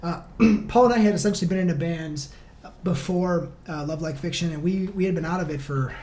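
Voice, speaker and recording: A man's voice: on the high side, a little fast, darkish tone, slight background noise. A man, an interview guest, telling stories in casual, emphatic chat with plenty of fillers. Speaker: band vocalist, interview guest.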